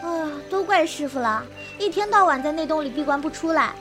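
Pouty, impulsive girl disciple, very high childlike register, wuxia audio drama with music underneath. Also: lively intonation, brisk. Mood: willful, eager. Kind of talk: Chinese wuxia radio drama acting.

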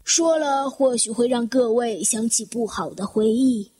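A woman's voice: fairly high pitch, a little slow, mellow, slightly rough. A woman playing a solemn, formal bearer of grave news in an audio drama, speaking with deliberation. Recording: music behind the voice.

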